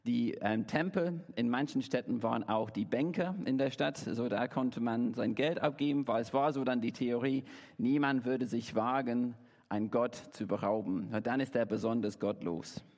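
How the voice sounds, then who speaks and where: A man from England preaches in German, his English roots showing as he searches for words and restarts phrases. His voice sits at an ordinary middle pitch. It rings out with a long tail of reverb, as in a large church hall. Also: moderate pace, a little mellow. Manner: preaching in German as a learner, with self-corrections and fillers. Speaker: pastor. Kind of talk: sermon.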